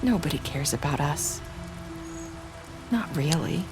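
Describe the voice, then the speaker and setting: A woman's voice: low-pitched, lively intonation, a little slow, over music. An actress playing a sorrowful young woman in a video game drama, confessing in an earnest, hesitant way. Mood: sad, bitter. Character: sorrowful young woman confessing, former mermaid, wounded but resolute.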